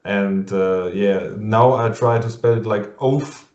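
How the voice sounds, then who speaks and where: A man with a German accent interviewing a guest over a video call in English, halting and full of fillers and restarts, laughing often. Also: lowish voice, a little mellow. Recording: muffled lo-fi sound in an echoey room.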